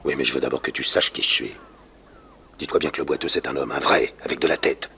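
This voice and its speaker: An actor playing a naive, boastful, lovestruck small-time crook who flusters easily, in a 1960s French radio crime drama. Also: male voice, a bass voice, fast, creaky, pushed and bright, exaggerated voice. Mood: boastful, confiding. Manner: bragging, easily flustered, pleading when threatened. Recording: faintly noisy vintage radio recording.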